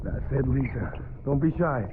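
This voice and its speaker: The sound is narrow and phone-like, with clear noise behind it. A male actor plays a tender father in a video game, coaxing gently in a mid-pitched voice. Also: animated, normal speed, close to an everyday voice. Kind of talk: video game cutscene acting. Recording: thin phone-like band, noisy ambience.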